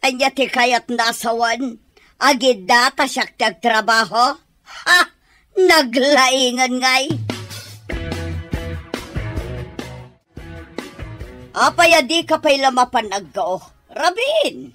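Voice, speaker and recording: A woman's voice: sits high, expressive, slow pace, pushed and bright, soft background music, heavily acted. A woman playing a bossy, scolding middle-aged mother-in-law in an Ilocano radio drama, with an Ilocano accent. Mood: scolding, irate. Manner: demanding, scolding.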